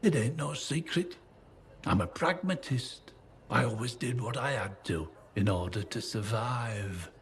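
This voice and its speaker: An actor playing a weathered, boastful yet haunted old survivor in a video game, with a folksy, plain-spoken manner. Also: male voice, medium pitch, a little slow, lightly acted. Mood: haunted, regretful. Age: older. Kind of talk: video game dialogue acting. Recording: clear ambient noise behind the voice.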